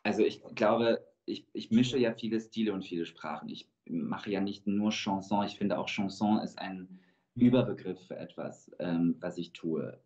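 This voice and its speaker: A man in his thirties, born in Georgia with Russian as his first language, speaking as a guest singer in a thoughtful, measured way over a video call. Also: deep voice, a little mellow. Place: indoor room with plain wall. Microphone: video call webcam. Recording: dull lo-fi video call audio.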